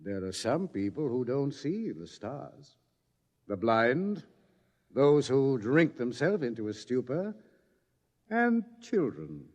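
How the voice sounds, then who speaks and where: An actor playing a calm, lecturing astronomer in a 1950s radio drama, measured in manner. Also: male voice, mid-range voice. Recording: muffled old radio broadcast with reverb.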